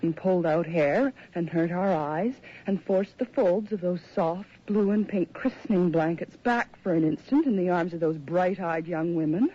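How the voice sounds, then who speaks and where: A woman's voice: lowish voice, moderate pace, hardly any pauses, warm tone, husky. Haunted woman, muffled radio recording. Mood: haunted, distraught. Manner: dramatic, haunted storytelling. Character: shaken, haunted woman insisting on horrors she saw. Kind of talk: old-time radio horror drama acting.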